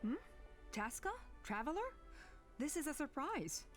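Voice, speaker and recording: A woman's voice: high-pitched, animated, measured pace, fairly bright tone, faint music behind. A woman playing a level-headed clan elder in a video game, speaking in an assured, direct manner. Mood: surprised.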